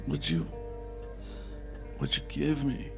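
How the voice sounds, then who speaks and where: A man's voice: medium pitch, lively intonation, slow pace, vocal fry, exaggerated voice. An actor playing a casual male bar date who becomes a bewildered victim, in a naturalistic audio drama. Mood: woozy, confused. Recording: loud music under the voice.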